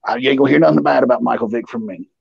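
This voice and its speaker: A man in his forties with a gravelly voice, telling rambling, folksy, salty anecdotes as a show co-host. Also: medium pitch, rapid-fire, no echo. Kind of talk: casual anecdotal storytelling. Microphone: remote livestream call. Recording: dull, muffled online stream audio.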